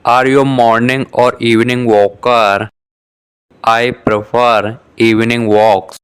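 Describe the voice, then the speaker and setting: A man's voice: medium pitch, level tone, smooth. A man reading short beginner dialogues for an English lesson, saying each exchange twice with careful articulation. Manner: measured, carefully articulated delivery. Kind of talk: scripted beginner dialogue for shadowing practice.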